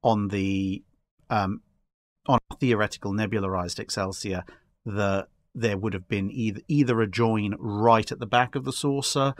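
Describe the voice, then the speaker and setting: A man in a casual discussion, explaining his speculative ideas in a halting way with repeated words. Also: on the low side, fairly even intonation, no echo. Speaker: podcast guest.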